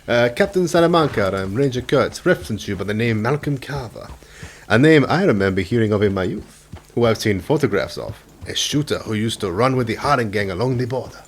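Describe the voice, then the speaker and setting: A man's voice: medium pitch, brisk, natural voice. An actor playing a friendly, earnest Mexican lawman in a radio drama, polite and chuckling as he probes. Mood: probing, genial. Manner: courteous, chuckling, lively and probing.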